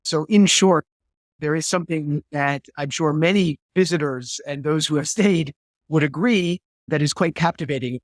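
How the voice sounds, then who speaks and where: Thoughtful man, fairly high voice. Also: moderate pace, no room sound. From New Hampshire, USA. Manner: thoughtful, repeats words as he searches for phrasing. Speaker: guest historian and theologian. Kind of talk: reflective interview answer. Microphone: video call.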